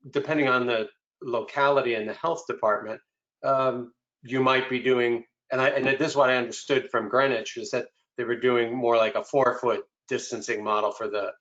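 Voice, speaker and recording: A man's voice: mid-range voice, steady tone, moderate pace, fairly bright tone. A man speaking informally as a board member, following up with colleagues in an online meeting. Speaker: school board member asking a question. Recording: muffled video meeting audio.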